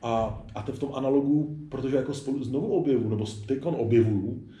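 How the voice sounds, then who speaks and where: A man's voice: mid-range voice, dark tone. A man in his forties talking casually and candidly as an interview guest, rambling informally. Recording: echoey room.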